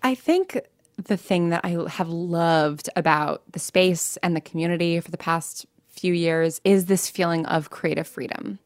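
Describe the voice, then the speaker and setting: A woman's voice: fairly low pitch, unhurried, no room sound. An American woman speaking candidly as an interview guest, in a chatty, informal way with lots of "like" and self-interruptions. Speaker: interview guest, fiction podcast writer and producer.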